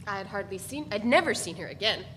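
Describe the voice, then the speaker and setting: A woman with a medium-pitched voice reads literary narration aloud in a deliberate, measured way. She uses dramatic pauses, and the hall adds a long reverb with faint noise. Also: expressive, fairly bright tone.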